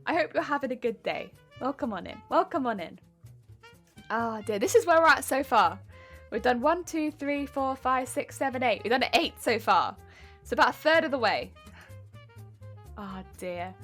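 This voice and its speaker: A woman's voice: on the high side, animated, moderate pace, a bit bright, faint music behind. A young woman with an English accent, chatting casually on a live stream as she answers chat questions and critiques her own sewing. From England.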